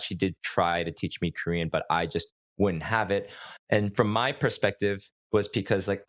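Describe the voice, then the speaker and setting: A man hosting a podcast, talking in a relaxed, reflective way and thinking aloud with frequent restarts. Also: low-pitched, no room sound, clean studio sound. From United States. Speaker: podcast host. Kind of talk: casual conversational interview.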